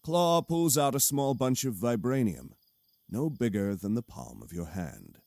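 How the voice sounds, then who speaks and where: A man's voice: medium pitch, lively intonation, a little slow, creaky, darkish tone, no room sound, studio quality. A man narrating an audio drama in a dramatic, scripted style. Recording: music under the voice. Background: cinematic music.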